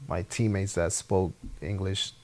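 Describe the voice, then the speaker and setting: A young man with a Spanish accent, speaking English as a second language as a guest in a relaxed interview, halting and repeating his words. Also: low-pitched. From Honduras. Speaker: podcast guest, former soccer player. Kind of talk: casual interview chat.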